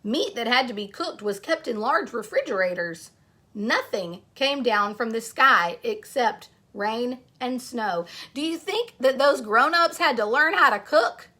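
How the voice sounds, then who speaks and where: A woman reading a children's picture book aloud, expressive, pausing to put questions to young listeners. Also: on the high side.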